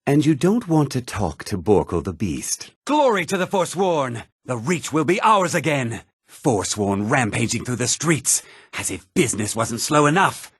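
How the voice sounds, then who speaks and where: An actor voicing a haughty, gossipy, well-to-do townsman in a fantasy video game, speaking in a measured, theatrical way with a husky voice. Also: medium pitch, sing-song, a bit bright, no room sound, clean studio sound, heavily acted. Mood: grim, confiding, then rousing, then polite, wry. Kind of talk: scripted fantasy game character dialogue.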